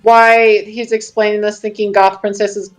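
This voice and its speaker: A woman in casual comedic banter as a co-host, halting, incredulous and sweary. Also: mid-range voice, little pitch movement. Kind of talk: casual comedic group chat. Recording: music behind the voice. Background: background music.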